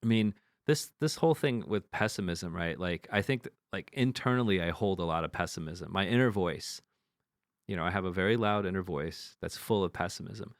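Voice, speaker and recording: A man's voice: low voice, brisk, no room sound, clean studio sound. A man co-hosting a podcast, talking frankly in casual chat, swearing now and then and often restarting his sentences. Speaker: podcast co-host.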